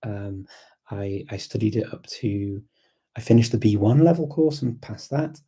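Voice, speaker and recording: A man talks casually to a livestream, in a very mellow, dark voice with some gravel in it. He is reflective and unhurried in his thinking, circling back on words as he works out what he means. His pitch sits a little on the low side. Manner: hesitant, reflective, repeats words while thinking. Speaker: livestream host and language learner. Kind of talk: casual live chat, thinking aloud.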